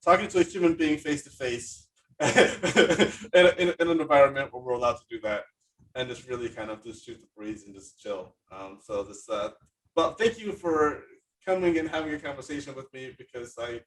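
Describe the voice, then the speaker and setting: A man's voice: medium pitch, moderate pace, distant mic, low-quality recording. A man hosting a podcast in casual chat, effusive and hesitant, with many fillers. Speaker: podcast host.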